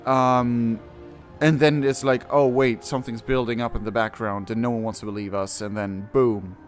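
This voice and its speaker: A man reacts to a game in a casual, rambling way. His voice sits at an ordinary pitch, and soft music plays in the background. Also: steady tone, normal speed. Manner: acts out mock quotes, rambling. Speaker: gamer reacting on his channel. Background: faint game music.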